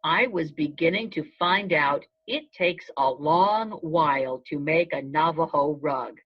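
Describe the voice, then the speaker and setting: A woman narrating a children's storybook aloud in the first person, in a measured storytelling manner. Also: deep voice, expressive. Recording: dull, lo-fi sound.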